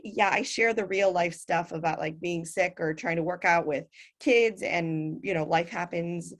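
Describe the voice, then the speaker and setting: A raspy woman talks casually on a video call, sitting a little low in pitch. She speaks as a fitness coach and fills her sentences with likes and ums. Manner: chatty, frequent fillers. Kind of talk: casual webinar talk.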